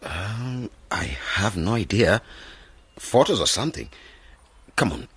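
A man's voice: fairly low pitch, lively intonation, a little slow, fairly bright tone, slightly rough, no room sound, exaggerated voice. An actor playing an earnest, idealistic man in his twenties in a radio drama, eager and determined. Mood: thoughtful. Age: 20s. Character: idealistic twenty-nine-year-old man, determined village cooperative founder. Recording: faint background ambient noise.

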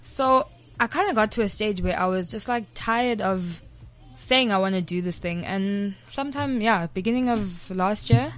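Young South African woman, animated guest, loose chatty storytelling. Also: medium pitch, moderate pace, poor audio. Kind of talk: casual interview chat.

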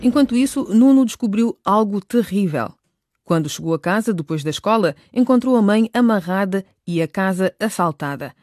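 A woman's voice: mid-range voice, moderate pace, dry recording. Woman narrating a radio drama, dry studio. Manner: even, clear recap delivery.

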